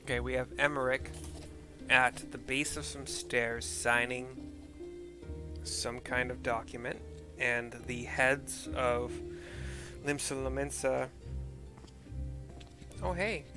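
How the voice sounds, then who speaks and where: A man casually describing video game scenes as he plays, in an offhand, halting, slightly wry way. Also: medium pitch, fairly even intonation, over music. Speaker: game streamer.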